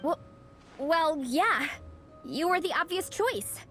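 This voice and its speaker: A bubbly young mentor who laughs easily and cheers others on. The voice sits high and swings lively up and down. Soft game music plays underneath. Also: female voice, takes it slowly, full character voice. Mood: encouraging. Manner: bubbly, encouraging, laughs often. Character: upbeat young woman, eager hero-training mentor. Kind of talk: video game voice acting.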